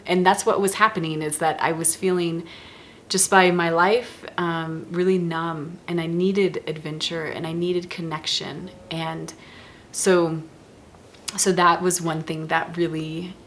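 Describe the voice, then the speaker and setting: An American woman speaks in a low voice, telling personal stories in a casual interview. Her delivery is earnest and halting, with repeated phrases and pauses. A rumbling background noise sits under the recording. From Kansas City, United States. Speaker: life coach and retreat facilitator.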